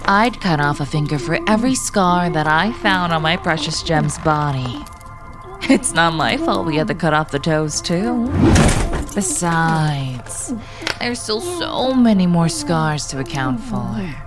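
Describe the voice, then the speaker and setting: A woman's voice: fairly low pitch, lively intonation, a little slow. A woman acting a menacing, obsessively protective avenger in a dramatic roleplay, with a theatrical, taunting delivery. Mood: calm menace. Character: menacing, mocking female avenger, obsessively protective of her gem. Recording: loud music behind the voice.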